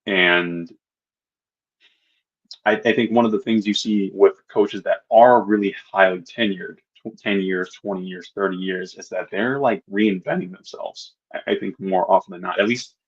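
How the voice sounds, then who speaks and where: Deep husky guy on a podcast, kinda muffled, stops and restarts a lot. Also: fairly even intonation, moderate pace. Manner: hesitant, many restarts and "um", thinks aloud. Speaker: podcast co-host. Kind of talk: casual sports chat.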